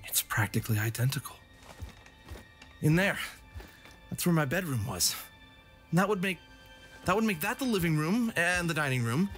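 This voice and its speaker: A man's voice: a little high, lively intonation, normal speed, pauses often, a bit bright. A man playing an adventurous, stubborn and sentimental fox hero in an audio drama. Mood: nostalgic, excited. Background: dramatic background music.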